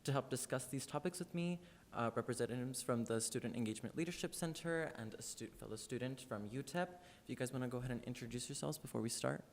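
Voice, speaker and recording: A man's voice: quick pace, gravelly. Nineteen-year-old man hosting a podcast. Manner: friendly, loose, many fillers. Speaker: podcast host. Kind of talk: casual student podcast chat. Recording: long reverb, faint rumble.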